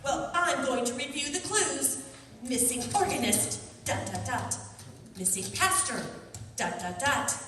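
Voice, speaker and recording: Woman as excitable gossipy busybody, melodramatic, slow, radio play comedy, long hall reverb. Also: sits high, a little hiss or hum, exaggerated voice. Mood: solemn reciting. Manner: melodramatic, prone to malapropisms. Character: excitable gossipy church lady amateur sleuth, dramatic busybody. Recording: distant, echoey reverberant hall.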